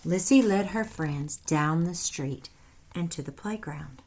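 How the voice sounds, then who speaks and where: A woman teacher reading a storybook aloud to young children in a deliberate, instructive way with animated emphasis. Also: on the low side. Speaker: first grade teacher.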